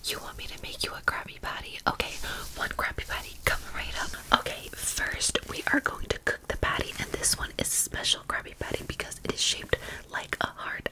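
A woman whispering as a friendly, cheerful cartoon sponge fry cook in a breathy ASMR roleplay, close to the mic. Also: close to an everyday voice, rough recording. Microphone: close desktop condenser microphone.

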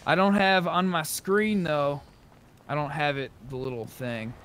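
Higher-voiced man chatting while gaming. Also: expressive, unhurried, fairly bright tone, clear, resonant tone. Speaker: player in an online roleplay game. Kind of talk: casual gaming chat with in-character roleplay. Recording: faint background noise, game ambience.

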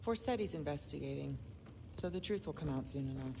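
A woman's voice: sits low, animated, brisk, creaky, warm tone. A woman playing a stern, suspicious warrior mother in a video game cutscene, cool and sarcastic. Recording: noisy ambience behind dialogue.

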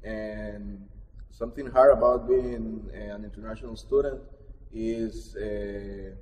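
A man's voice: on the low side, mellow. A young man from Honduras, a graduate student, speaking earnestly and gratefully with some fillers. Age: young adult. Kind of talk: unscripted personal remarks. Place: large chapel with stone walls, indoors. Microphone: camera mic from across the room. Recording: hall reverb, distant mic, faint room hiss.

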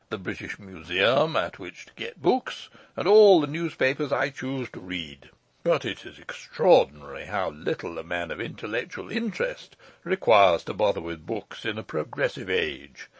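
A man's voice: lowish voice, no room sound. A man voicing a pompous, fussy old uncle in an audiobook story, speaking in a formal, long-winded and pedantic way. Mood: cheerful, pedantic.

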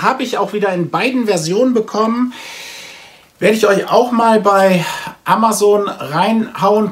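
The voice is a man, pitched slightly above average. He speaks as the host of a casual vlog, chatting to the camera in a conversational, explanatory way. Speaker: comic blog video host. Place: small indoor room with shelves of comics.

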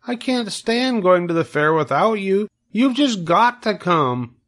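A man's voice: sits high, big pitch swings, measured pace, a bit bright, pitched above the performer's own voice, exaggerated voice. A man voicing a naive, eager young pig in a storybook, pleading and earnest.

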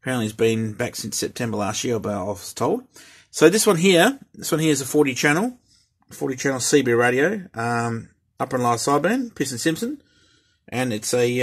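Middle-aged Australian male hobby host, husky tone, hesitant and repetitive, casual delivery. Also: medium pitch. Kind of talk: casual hobby vlog talk.

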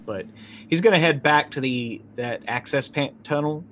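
A man in his forties improvising casual narration as a tabletop game player, halting as he thinks aloud. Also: fairly high pitch, fairly bright tone, a little hiss or hum. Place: small indoor room, remote stream.

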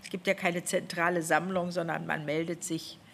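Woman in her 50s reporting to a committee, lively, keeps restarting bits, desk mic, faint hum behind. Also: on the low side, moderate pace, creaky. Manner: animated, gestures a lot, restarts phrases. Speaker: government official reporting to a parliamentary committee. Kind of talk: spontaneous spoken report. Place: indoor meeting room. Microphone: gooseneck desk microphone.

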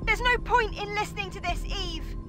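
A small, excitable girl sidekick in a game cutscene, anxious and indignant. Her voice is extremely high and agitated, with loud music behind it. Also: moderate pace. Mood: indignant, alarmed. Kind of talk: dramatic video game cutscene acting.

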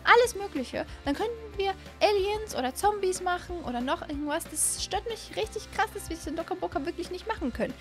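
Young female gaming host, high voice, informal chatty delivery, close mic with faint music underneath. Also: lively intonation, fairly quick, few pauses, fairly bright tone. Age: young adult. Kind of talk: casual chat, game commentary. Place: small indoor room at home. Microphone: microphone on a boom arm close to the mouth.

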